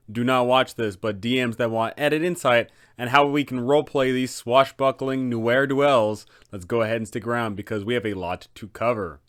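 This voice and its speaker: A man's voice: medium pitch, smooth. A man hosting an explainer for game masters, giving guiding commentary on role-playing. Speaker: host, tabletop game guide.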